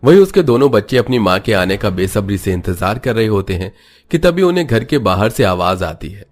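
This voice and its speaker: A man's voice: on the low side, sing-song, a little fast. A man giving scripted narration for a cartoon moral story, as the narrator.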